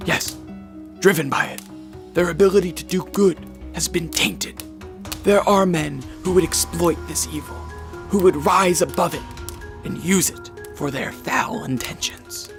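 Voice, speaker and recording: Eccentric doctor, gravelly, grave and fervent, theatrical radio drama lecturer, music underneath. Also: male voice, fairly high pitch. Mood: grave, ominous. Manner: theatrical, deliberate lecturing delivery.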